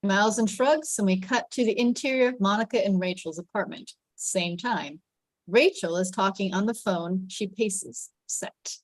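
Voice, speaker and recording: A woman's voice: mid-range voice, normal speed, no echo, poor audio. Woman reading stage directions, dry close sound. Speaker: table read narrator. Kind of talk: scripted stage directions read aloud.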